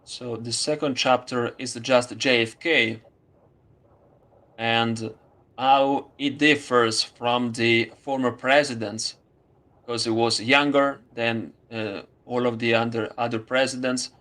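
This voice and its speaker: Slow, halting Italian-accented man. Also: mid-range voice, steady tone, a bit bright. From Italy. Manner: halting, many fillers and restarts. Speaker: student. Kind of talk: casual conversation.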